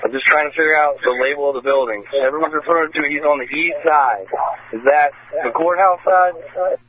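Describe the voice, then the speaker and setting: A man's voice: a little high, brisk, fairly bright tone. A man working as a police officer, talking in terse radio traffic over a two-way radio. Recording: noisy police scanner radio recording.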